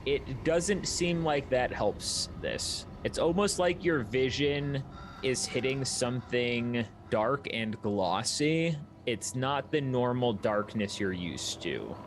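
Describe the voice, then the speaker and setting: A man's voice: mid-range voice, animated, takes it slowly, slight background noise. A man narrating a tabletop roleplaying game as its game master, describing scenes and asking for checks.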